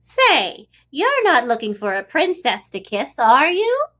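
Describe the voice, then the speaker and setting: Woman putting on a high-pitched suspicious storybook witch. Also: wildly swooping pitch, takes it slowly, a bit bright, exaggerated voice. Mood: suspicious, dismissive. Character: fussy nagging witch, wary of princes, storybook villain.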